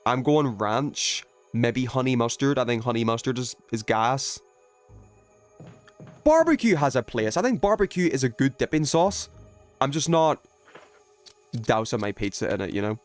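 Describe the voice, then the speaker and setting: A man from the UK streams a video game and chats casually with his viewers. He has a middling pitch and talks in an opinionated, emphatic way, swearing freely. Music plays behind his voice. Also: lively intonation, normal speed, pauses often. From United Kingdom. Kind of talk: casual chat with viewers while gaming.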